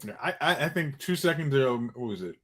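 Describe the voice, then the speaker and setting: A man with a mid-pitched voice and very animated intonation. He talks in casual, teasing banter as a co-host. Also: fast. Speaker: co-host on a movie talk show.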